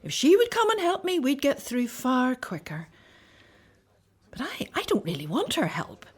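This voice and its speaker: Marta, casalinga esasperata, voce acuta. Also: female voice, sits high, wildly swooping pitch, moderate pace. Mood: exasperated. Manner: exasperated, complaining, flustered. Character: fussy, overworked, resentful housewife of Bible times. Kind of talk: radio play acting.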